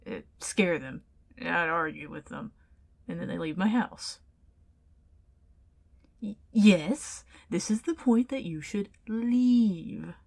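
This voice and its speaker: A woman's voice: on the low side, lively intonation, slow, vocal fry, long pauses, a little mellow, exaggerated voice. A woman playing an indignant, flustered tsundere ghost in an ASMR roleplay, stammering and defensive as she protests. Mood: defensive, hesitant.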